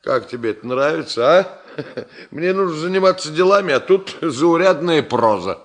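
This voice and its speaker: An actor playing an ailing, stubborn engineer, talking in a musing, ironic way in a Soviet radio drama. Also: male voice, fairly high pitch, animated, normal speed, close to an everyday voice. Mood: wry. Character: ailing male engineer, wry, reflective, stubborn.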